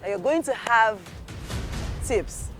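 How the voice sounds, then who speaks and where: An emphatic reality show host with a mid-pitched voice delivers scripted lines. Strong music plays behind. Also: lively intonation, takes it slowly. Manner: upbeat, emphatic announcer. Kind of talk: scripted presenting.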